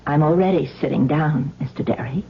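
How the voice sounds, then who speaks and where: Very husky, gracious radio-drama housewife. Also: female voice, low-pitched, brisk, full character voice. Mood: alarmed but composed. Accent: American. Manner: gracious, brightly brave, polite. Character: gracious, plucky housewife in a wheelchair, eager for company. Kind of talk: 1970s radio drama acting. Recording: muffled old radio broadcast recording.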